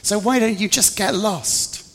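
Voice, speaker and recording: A man's voice: mid-range voice, measured pace, creaky. A man playing a scornful, dismissive disciple who scolds an outsider in a dramatic story monologue. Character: scornful male disciple gruffly turning an outsider away. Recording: reverberant large hall.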